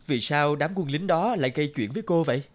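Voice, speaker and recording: An actor playing a brave, earnest young archer hero in a cartoon fairy tale. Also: male voice, on the high side, lively intonation, fast, natural voice. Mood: earnest, reassuring. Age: young adult.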